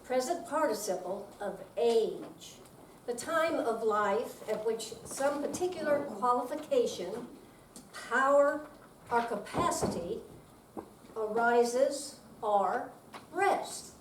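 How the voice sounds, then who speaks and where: A woman's voice: a little high, animated, takes it slowly. An older woman reading aloud dramatically as an amateur readers theater performer in a senior center room. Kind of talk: slow dramatic reading on stage. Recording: distant, echoey room with faint noise.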